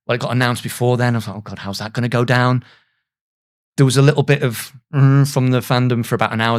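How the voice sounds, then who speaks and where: A man with a mid-pitched voice talks in a reflective interview style. He comes across as earnest and self-deprecating, often pausing haltingly mid-thought before carrying on conversationally. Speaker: voice actor, podcast guest.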